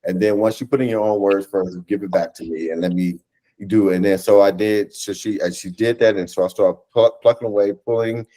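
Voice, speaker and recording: An American man in his thirties or forties talking conversationally as an interview guest, animated and often rephrasing as he goes. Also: lowish voice, a little fast. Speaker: theatre director and writer, interview guest. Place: indoors, on a video call.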